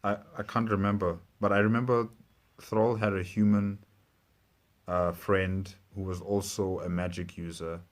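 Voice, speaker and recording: A man's voice: deep voice, little pitch movement, a little slow. A man hosting a reaction video, talking casually and hesitantly as he gathers his thoughts off the cuff.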